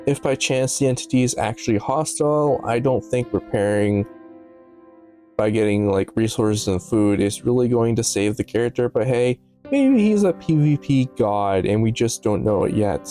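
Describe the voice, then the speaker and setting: A man's voice: mid-range voice, moderate pace, dark tone. A man giving casual, analytical video commentary in a musing, slightly stumbling way, with offhand asides. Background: background music.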